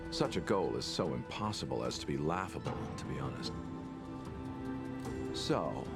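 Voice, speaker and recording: A polite, calculating healer makes his argument in a deep, smooth voice. His intonation rises and falls in a lively, persuasive way. Game music sits behind him. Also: exaggerated voice. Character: smooth, scheming male healer, calm and persuasive.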